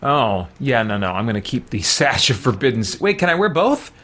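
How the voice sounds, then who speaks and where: A man with a medium-pitched voice gives casual gaming commentary. He thinks aloud in a loose, rambling way, as if talking half to himself and half to viewers. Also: sing-song, fairly quick, a bit bright. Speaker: gamer playing and commenting on a role-playing game. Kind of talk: casual let's play commentary.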